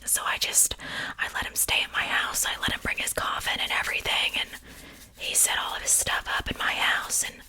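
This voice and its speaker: A woman playing a gossipy, casually haughty witch in an ASMR roleplay, whispering breathily in an unhurried, confiding way. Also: pushed and bright, rough recording. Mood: gossipy, rueful. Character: chatty, gossipy witch cooking a mortal into stew.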